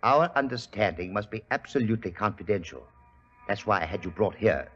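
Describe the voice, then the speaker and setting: An actor playing an imperious, wealthy industrialist in an old radio mystery, speaking formally with coldly courteous menace. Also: male voice, lowish voice, animated, brisk, a bit bright, faint music behind. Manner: smooth-talking, formal, menacing. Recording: dull, hissy 1940s radio recording.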